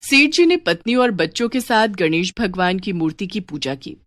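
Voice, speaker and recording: A woman narrating a moral story with a clear, even, steady storyteller's delivery. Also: medium pitch, moderate pace, noisy background.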